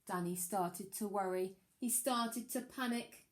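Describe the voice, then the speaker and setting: Slow, expressive woman reading a storybook. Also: medium pitch. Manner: expressive, dramatic emphasis on rhyming lines. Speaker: primary school teacher reading aloud. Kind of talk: children's picture book storytelling.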